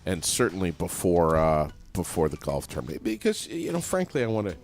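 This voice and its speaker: A man's voice: low-pitched, wildly swooping pitch, fairly quick, darkish tone, faint music behind. A man hosting a casual radio interview in a studio, loose and joking, with some casual swearing. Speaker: radio host.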